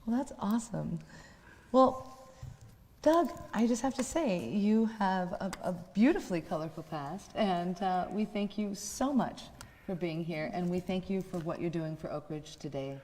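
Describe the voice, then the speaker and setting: A woman's voice: on the low side, expressive, a little slow, a little mellow. A woman hosting a community variety show, speaking in an effusive way. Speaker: show host. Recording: echoey hall, noisy, clicks.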